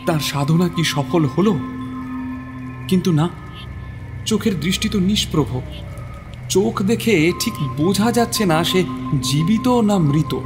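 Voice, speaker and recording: A male narrator. He tells a horror story in a dramatic, suspenseful manner, with clear music playing behind him. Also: high-pitched, a little slow, pauses often. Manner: theatrical, suspenseful.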